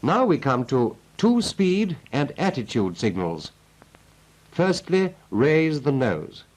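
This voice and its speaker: A British man delivers scripted instruction in the style of a 1940s military training film. His pitch is medium and moves up and down in a lively way. He sounds clipped and methodical, like an instructor, on a period film soundtrack. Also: slow pace, rough recording.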